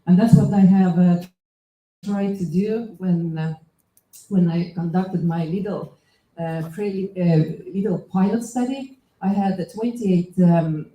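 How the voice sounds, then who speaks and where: A woman from Estonia with a very dark, mellow voice gives an academic conference talk in English, often halting on fillers and restarting her sentences. The sound carries a roomy echo from a lecture hall. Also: fairly low pitch. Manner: hesitant, many fillers and restarts. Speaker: associate professor, conference speaker. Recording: echoey hall.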